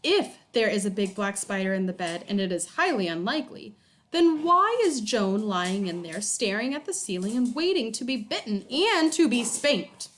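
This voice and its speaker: A woman doing a calm, lower-voiced dad. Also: normal speed, a little hiss or hum. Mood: reasoning.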